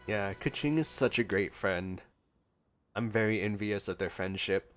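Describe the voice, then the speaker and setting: A man's voice: on the low side, measured pace. A man giving casual reaction commentary as a gaming host, playfully talking along with the game's characters. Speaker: gaming video host reacting to a story quest. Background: soft game music.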